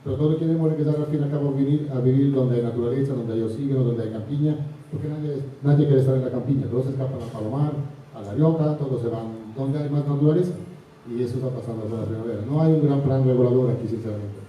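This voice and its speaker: A man from Italy with an Italian accent, an invited chef making an earnest, halting remark at a panel discussion. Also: mid-range voice, highs cut off, a little hiss or hum. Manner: earnest, halting, apologetic about his Spanish. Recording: echoey hall picked up from far off.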